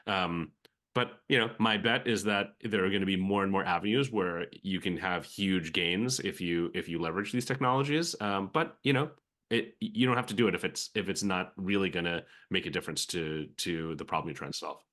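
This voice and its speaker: Bright-voiced man talking candidly. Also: low-pitched, fairly quick. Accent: American. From Philippines. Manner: reflective, many fillers and restarts. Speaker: venture capital guest. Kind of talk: conversational podcast interview.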